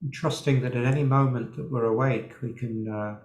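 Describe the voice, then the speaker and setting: A man leading a guided meditation as a teacher, speaking in an unhurried way with long pauses. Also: medium pitch, fairly even intonation, darkish tone. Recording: roomy, echoing sound.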